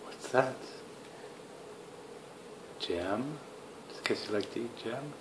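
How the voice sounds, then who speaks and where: A man chatting casually as a father with a toddler, gently prompting with questions. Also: medium pitch, takes it slowly. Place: tiled living room at home. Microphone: handheld phone, behind the camera. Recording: noisy home phone video.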